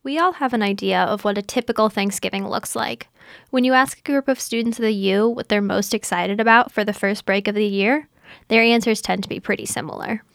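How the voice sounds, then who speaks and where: A woman's voice: mid-range voice, brisk, vocal fry, no room sound, clean studio sound. A woman reporter narrating a scripted segment and asking students the same question. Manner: plain, read-from-script delivery.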